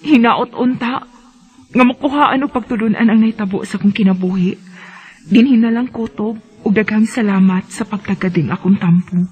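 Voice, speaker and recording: A woman's voice: medium pitch, moderate pace, muffled recording, lightly acted. A woman with a Bisaya accent acting in a radio drama as an anguished young sister, tearful and melodramatic. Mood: sorrowful recounting. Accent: Filipino Bisaya. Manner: wailing, tearful, melodramatic delivery. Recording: loud music under the voice.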